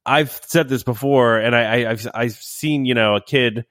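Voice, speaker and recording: A nasal man in his thirties with a cold, hosting a relaxed podcast conversation. He rambles and restarts his sentences often. His voice sits at an everyday pitch and sounds dry and close, with no room around it. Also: normal speed, no echo. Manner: rambling, many fillers and restarts. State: has a cold. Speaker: podcast host. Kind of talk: casual podcast chat.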